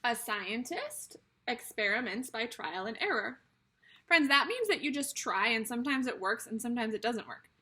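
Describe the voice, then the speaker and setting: A woman's voice: fairly high pitch, animated, moderate pace, pushed and bright, a little hiss or hum. A woman teacher reading a picture book aloud to young children, explaining things simply and speaking to them directly as friends.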